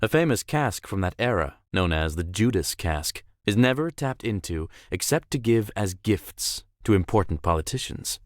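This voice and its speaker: A man's voice: lowish voice, big pitch swings, normal speed, few pauses, dry recording, studio quality. A man voicing a suave, self-assured young billionaire in a visual novel, with formal, polished and theatrical phrasing. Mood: proud, smooth. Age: young adult. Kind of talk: visual novel character acting.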